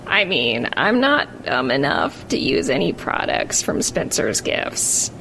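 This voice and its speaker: A woman voicing a jaded, brainwashed manic pixie mall worker in a deadpan, ironic parody monologue with a creaky, breathy voice. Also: rumbling low, slow, a little hiss or hum, heavily acted, low-quality recording. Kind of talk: scripted parody narration.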